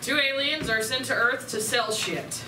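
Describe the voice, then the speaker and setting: A woman's voice: high voice, moderate pace. Woman hosting, echoey and distant. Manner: informal, jokey, loosely organised. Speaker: radio play troupe host. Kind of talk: casual live introduction to an audience. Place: convention event room. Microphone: shared wired microphone. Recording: echoey distant live room, loud noise.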